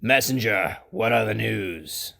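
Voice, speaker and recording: An actor playing a defeated, bitter usurper king in a Bible audio drama, with a gravelly voice and slow, dramatic delivery. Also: lowish voice, expressive, sharp tone, heavily acted. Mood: impatient.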